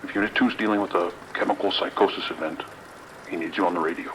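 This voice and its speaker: An actor playing a firm, no-nonsense police lieutenant in an audio drama, speaking in a terse, commanding way. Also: male voice, low voice, steady tone, quick pace, background noise, lightly acted.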